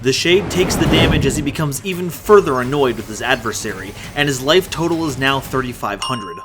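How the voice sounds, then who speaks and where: A man's voice: medium pitch, lively intonation, fairly quick, very noisy. A man narrating an audio drama from a script, in a theatrical storytelling manner. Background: prominent music.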